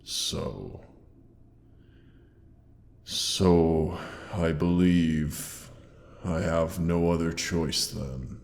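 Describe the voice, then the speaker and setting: A huge, ancient-sounding dragon speaks in an extremely deep, creaking voice that rings through long cavernous reverb. He is theatrical and formal, grandiose yet tender, as in a fantasy audio drama. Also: darkish tone, exaggerated voice. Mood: tender, regretful. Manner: slow, theatrical, archaic formal phrasing with pauses. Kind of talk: scripted roleplay audio drama monologue.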